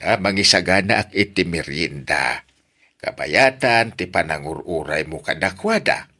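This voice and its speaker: A man playing an older, hospitable, talkative village father with a husky voice and an Ilocano accent in an audio drama. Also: low voice, animated, unhurried, pushed and bright, exaggerated voice. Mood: chatty, hospitable.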